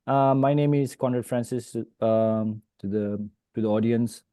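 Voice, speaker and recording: A man who grew up in Australia, introducing himself as a panellist in a halting way, repeating the openings of his phrases. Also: mid-range voice, steady tone, warm tone, clear, resonant tone, dry recording, studio quality. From Australia, born in Sri Lanka. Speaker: panellist, swimming coach. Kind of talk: hesitant self-introduction on a panel. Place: online video meeting.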